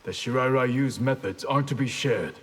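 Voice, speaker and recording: An actor playing a grave, dutiful ninja clan master in a video game, speaking formally in a husky voice. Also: male voice, mid-range voice, normal speed, lightly acted. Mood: solemn. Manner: formal, solemn. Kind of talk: video game fight banter.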